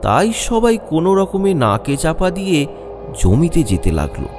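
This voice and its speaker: A man's voice: on the high side, huge pitch swings, normal speed, over music. A man narrating a scripted horror story with dramatic storytelling delivery.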